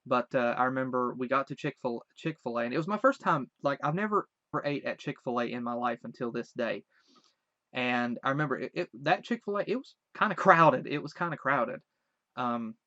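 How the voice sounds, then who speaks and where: Man, light Southern accent, mid pitch, rambling and hesitant recollection, dry dull lo-fi recording. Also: pushed and bright, no room sound. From Virginia. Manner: rambling, hesitant, many restarts. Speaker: vlogger. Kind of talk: casual recollection.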